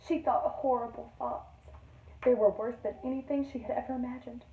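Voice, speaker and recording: A woman teacher reading a picture book aloud to young children in an expressive storytelling manner. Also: on the high side, moderate pace, phone-quality sound. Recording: roomy echo, distant microphone.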